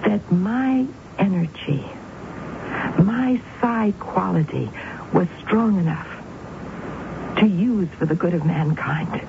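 An actress playing an intense, grandiose woman convinced of her psychic powers in a 1970s radio drama, with rapt, self-important delivery and dramatic pauses. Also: fairly low pitch, creaky. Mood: exalted, confiding. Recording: muffled, noisy 1970s radio broadcast.

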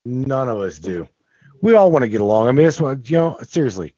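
A man's voice: mid-range voice, sing-song, fairly quick, mellow, no echo. A man hosting a podcast, talking earnestly, stammering and repeating himself. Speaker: podcast host. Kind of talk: casual chat. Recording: muffled lo-fi sound.

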